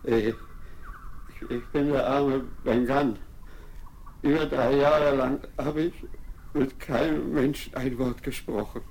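An actor playing a ragged, jittery marooned castaway who stammers and halts, in a 1970s radio play. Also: male voice, mid-range voice, steady tone, darkish tone. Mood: pleading. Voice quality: stammering, halting. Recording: noisy vinyl record.

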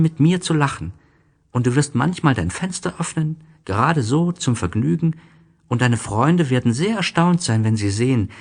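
A man playing a gentle, wistful young boy in a German radio play, speaking slowly, earnestly and tenderly. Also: a little high, slightly rough, full character voice. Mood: consoling, playful. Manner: slow, earnest, tender, simple phrasing.

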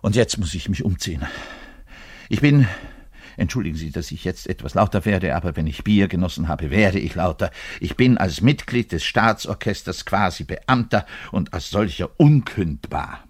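An actor playing a neurotic, frustrated middle-aged double bassist who bares his self-loathing in a radio-play monologue. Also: expressive, normal speed, no echo, studio quality. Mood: smug, matter-of-fact. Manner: volatile, swinging between muttered confession and loud outbursts. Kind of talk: dramatic monologue radio play, confiding to the listener.

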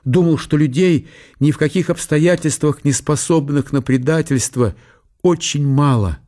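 A man narrating a literary radio play in a measured, storytelling manner. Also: fairly high pitch, dark tone.

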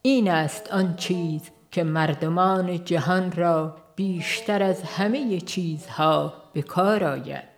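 A woman reads a religious book as a formal audiobook narrator, in a solemn, measured way. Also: on the low side. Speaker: audiobook reader.

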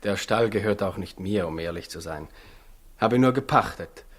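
Lowish husky country stable keeper, folksy and plain, old radio drama. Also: male voice, moderate pace. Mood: sheepish, friendly. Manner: folksy, matter-of-fact. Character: plain, good-natured stable tenant, shrewdly modest. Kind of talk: 1960s German radio play acting.

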